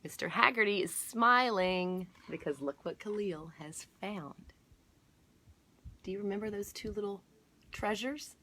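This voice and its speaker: Bright-voiced woman reading a picture book aloud. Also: mid-range voice, a little slow. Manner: explanatory, inviting listeners to look closely and notice details. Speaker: children's storytime host at a museum. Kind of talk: picture book read-aloud with asides to young viewers.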